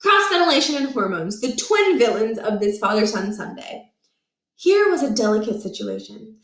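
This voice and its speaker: A woman reading a children's chapter book aloud in a lively, expressive way. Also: a little high, moderate pace, reverberant. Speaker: storybook reader.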